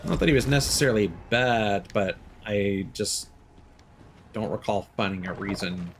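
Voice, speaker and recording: A man's voice: lowish voice, normal speed, a little hiss or hum. A man streaming a video game and chatting casually as he plays, thinking aloud with fillers and small laughs. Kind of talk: casual live gameplay commentary.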